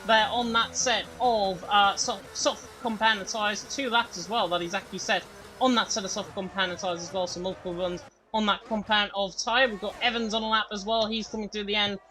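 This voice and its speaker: Guy doing sim racing play by play, bright voice, bit croaky, gets pumped on fast laps. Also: high-pitched, steady tone, slight background noise, rough recording. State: slightly croaky from being unwell. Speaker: lead commentator.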